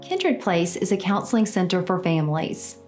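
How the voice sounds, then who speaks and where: A woman's voice: fairly low pitch, very animated, normal speed, darkish tone. A middle-aged woman delivering a scripted charity message as a spokesperson. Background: music.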